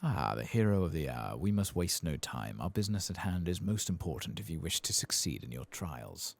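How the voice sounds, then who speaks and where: Man acting an old fantasy storyteller, hearty, formal, declamatory, husky low voice, swinging intonation. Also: big pitch swings, moderate pace, darkish tone, no echo, heavily acted. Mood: hearty, solemn. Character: hearty old male trial guide and storyteller.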